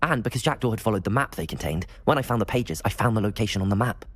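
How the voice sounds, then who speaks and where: An actor as a quick, husky young wizardry student. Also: mid-range voice, expressive, close to an everyday voice. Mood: excited. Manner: eager, courteous, earnest. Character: keen, polite young student of magic. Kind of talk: video game voice acting.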